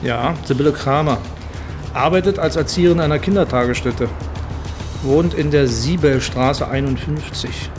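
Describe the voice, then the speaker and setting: An actor playing a matter-of-fact police detective in a naturalistic crime drama. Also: male voice, a little high, moderate pace, over music, lightly acted.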